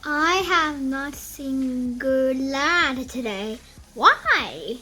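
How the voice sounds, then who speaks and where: A child reading a picture book aloud in a careful, halting beginner's way, with music behind. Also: high-pitched, expressive, pushed and bright.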